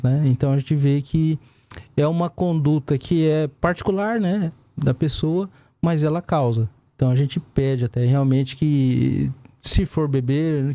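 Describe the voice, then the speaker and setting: Mellow, higher-pitched Brazilian man. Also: no echo. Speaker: police battalion commander, interview guest. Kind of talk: live studio interview answers. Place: small indoor broadcast studio. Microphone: desk gooseneck microphone.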